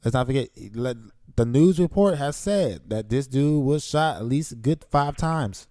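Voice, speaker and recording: Male podcast co-host, blunt and slangy, dark mellow voice, dry sound. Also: medium pitch, expressive, normal speed, dry recording. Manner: blunt, slangy, cuts in to disagree. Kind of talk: casual debate chat.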